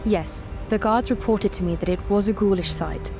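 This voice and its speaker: Lady doing a young empress for a tabletop rpg, formal n measured, worried but composed, music behind. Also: medium pitch, natural voice.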